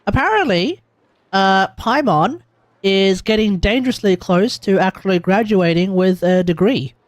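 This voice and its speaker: A man with an Australian accent giving casual, excitable, joking live commentary on a game, repeating himself for emphasis. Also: sits high, measured pace, no echo. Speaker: streamer.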